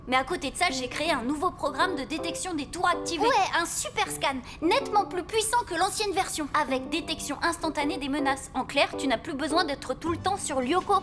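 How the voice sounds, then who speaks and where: A woman voicing an excitable young teenage schoolkid in an adventure cartoon. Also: high voice, natural voice. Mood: eager. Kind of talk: animated series dubbing. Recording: music behind.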